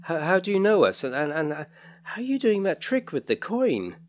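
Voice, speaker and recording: Man acting a stammering, frightened schoolboy, pitched up. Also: moderate pace, slight background noise, close to an everyday voice. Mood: bewildered. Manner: stammering, urgent questioning. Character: frightened, stammering, contradictory schoolboy called Daniel.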